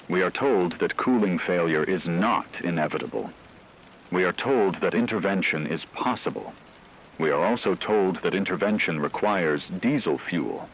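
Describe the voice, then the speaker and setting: A man playing a grave emergency radio announcer, reading scripted broadcast narration in a measured, formal, somber way. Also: low-pitched, a bit bright, a little hiss or hum, rough recording. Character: grave male emergency radio announcer during global blackout.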